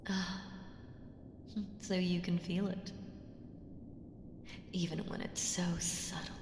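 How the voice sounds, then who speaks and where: A woman playing an ancient evil entity in an ASMR audio roleplay, coaxing and condescending, commanding and seductive, with deliberate delivery that slips into whispers. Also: lowish voice, little pitch movement. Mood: knowing, possessive. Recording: roomy long reverb with clear background noise.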